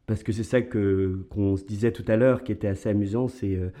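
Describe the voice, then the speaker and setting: A man's voice: on the low side, fairly even intonation, a little fast, warm tone. A man hosting an interview podcast, talking casually with frequent hesitations. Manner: hesitant, many fillers. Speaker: podcast host. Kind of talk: conversational interview.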